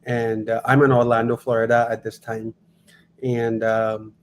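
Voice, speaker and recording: Pakistani male interviewer, flat intonation, polite and casual, slight background noise. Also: medium pitch, unhurried. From Lahore, Pakistan. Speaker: guest acting as interviewer.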